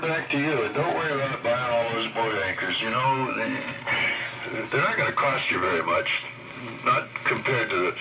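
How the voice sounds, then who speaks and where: A man's voice: on the low side, far from the mic. Older man on muffled, noisy radio. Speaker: amateur radio operator. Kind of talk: casual radio chat. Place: heard over a radio link.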